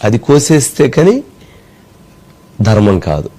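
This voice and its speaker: A man giving political commentary in a deliberate, emphatic way, putting rhetorical questions. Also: mid-range voice, very animated, warm tone.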